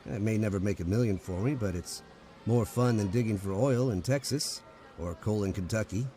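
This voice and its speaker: A man's voice: lowish voice, moderate pace, dark tone, faint music behind, lightly acted. A man playing an easygoing, wry, folksy dreamer in an old-time radio romantic comedy. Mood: easygoing, reflective. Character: easygoing, wry male drifter dreaming of building boats.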